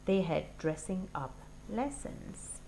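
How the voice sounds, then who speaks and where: Woman, storybook narration, very lively pitch, unhurried slow pace, deliberate and expressive, background noise. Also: gravelly, rough recording. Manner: deliberate, expressive reading for young children. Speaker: teacher reading a storybook aloud.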